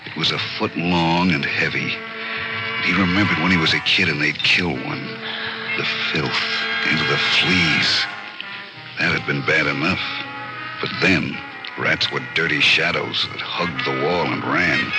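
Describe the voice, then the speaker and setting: A man with a very deep voice narrates a vintage radio thriller. He is grim and deliberate, extremely slow, with loud dramatic music behind him. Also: poor audio.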